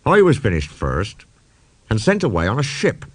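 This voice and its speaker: A man as old male steam engine, proud, indignant, grumbling, very animated storybook delivery, dry sound. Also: lowish voice, normal speed, breathy edge, no echo, exaggerated voice. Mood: reminiscing. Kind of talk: children's audiobook storytelling.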